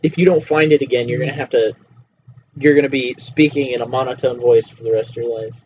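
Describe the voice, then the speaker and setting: A young man hosting a casual podcast with a friend, joking around and breaking into loud shouts and laughter. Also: medium pitch, fairly even intonation, fast. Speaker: podcast host. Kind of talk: casual chat. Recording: dull lo-fi home recording with faint hiss.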